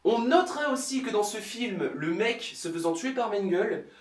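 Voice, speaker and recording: A man's voice: sits high, lively intonation, normal speed. A man narrating a sarcastic parody film review in a mocking, theatrical manner. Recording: roomy, distant mic, faint noise.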